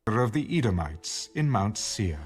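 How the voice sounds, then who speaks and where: Slow, low-voiced man narrating scripture. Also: big pitch swings, husky. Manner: measured, formal. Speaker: narrator of an audio Bible. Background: background music.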